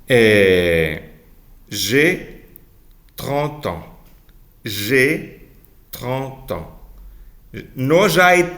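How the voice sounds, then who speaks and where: Slow, deliberate male teacher. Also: lowish voice, sharp tone. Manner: models each phrase slowly and repeats it, correcting pronunciation in between. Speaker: French teacher. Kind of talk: slow drilling of model phrases for repetition.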